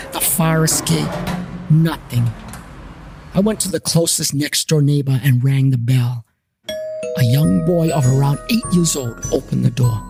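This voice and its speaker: A man reading a scripted first-person story as its storyteller. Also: fairly high pitch.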